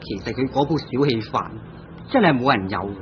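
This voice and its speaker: A man's voice: medium pitch, animated, brisk, close to an everyday voice. An actor with a Hong Kong Cantonese accent playing a restless married painter and art teacher in an old radio drama, sighing and grumbling about his wife. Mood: aggrieved. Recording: dull old radio broadcast with faint hiss.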